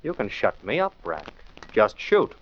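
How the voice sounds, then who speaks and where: An actor playing a confident, cool amateur detective in 1940s radio style, assured and commanding. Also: male voice, on the low side, huge pitch swings, unhurried, exaggerated voice. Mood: cool, taunting. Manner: taunting, assured, crisply commanding. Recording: muffled, noisy 1940s radio recording.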